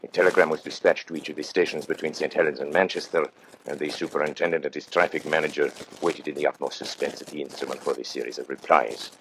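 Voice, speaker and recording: An actor narrating a radio mystery as a grave storyteller, formal and measured. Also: male voice, extremely low pitch, steady tone, a bit bright, slight background noise, rough recording. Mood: grave, suspenseful.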